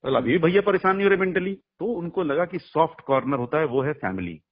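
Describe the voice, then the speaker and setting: Husky, combative man on a muffled phone call. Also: a little high, lively intonation, fast talker. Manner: emphatic, combative, blunt, peppered with rhetorical questions. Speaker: music artist's manager being interviewed. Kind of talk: heated phone conversation.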